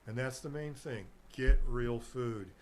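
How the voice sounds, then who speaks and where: A middle-aged man talks in a loose, meandering way, as though he is telling stories to a friend. He has an average pitch and laughs easily between thoughts. A faint hum of room noise sits under his voice. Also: slow pace, poor audio. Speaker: guest, herbal nutrition seller. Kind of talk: rambling casual chat on a local radio show.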